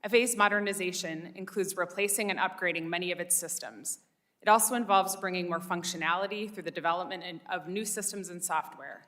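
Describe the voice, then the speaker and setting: A woman's voice: fairly low pitch, brisk, fairly bright tone. A woman reading formal prepared testimony as an expert witness.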